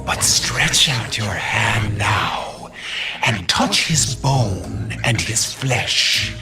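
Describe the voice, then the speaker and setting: An actor playing Satan as a sly, malicious accuser with a deliberate, taunting manner in a dramatised scripture reading. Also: male voice, lowish voice, animated, over music. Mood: sly, challenging.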